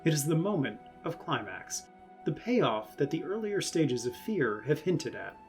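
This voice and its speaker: A man reading rulebook passages aloud as scripted narration, in a measured, dramatic explanatory way. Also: on the high side, big pitch swings, darkish tone, soft background music. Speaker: reader of rulebook quotations.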